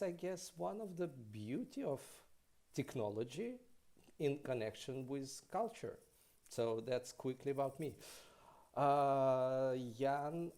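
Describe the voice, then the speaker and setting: A man in his thirties hosts an online interview over a headset on a video call. His voice is mellow and dark, and he speaks very slowly and deliberately, with slightly non-native phrasing. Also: mid-range voice. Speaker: host.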